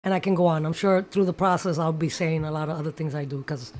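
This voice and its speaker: A woman's voice: low voice, fast talker, a little mellow, slight background noise. A woman, a chatty guest who mixes in Spanish words and has a cold. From New York City. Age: 50s. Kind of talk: casual livestream interview.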